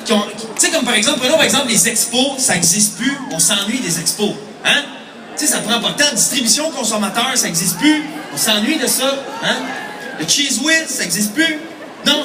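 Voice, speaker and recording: A man in his forties with a Québécois French accent doing stand-up comedy, animated and shouting his punchlines. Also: high-pitched. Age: 40s. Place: large comedy festival hall. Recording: distant mic in echoey hall, crowd noise.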